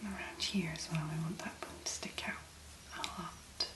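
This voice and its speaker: A woman speaking very softly and breathily, in a gentle, unhurried ASMR make-up tutorial style. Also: low voice, steady tone, roomy sound. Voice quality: breathy, whispery. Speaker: ASMR video creator.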